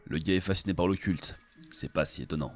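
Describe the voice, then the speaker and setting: A man's voice: low voice, fairly quick, soft background music, natural voice. A man playing a cynical, curt adventurer in a French audio drama, with a dry, scolding, sardonic manner. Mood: dry, matter-of-fact. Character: cynical, curt, grudging male adventurer, sharp-tongued loyal friend. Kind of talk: French audio fiction acting.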